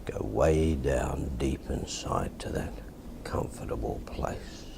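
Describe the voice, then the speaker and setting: An Australian man with a deep voice, calmly and deliberately teaching and demonstrating hypnosis in a soft-spoken, repetitive way. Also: vocal fry, whispered. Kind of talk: hypnosis instruction and induction, softly spoken.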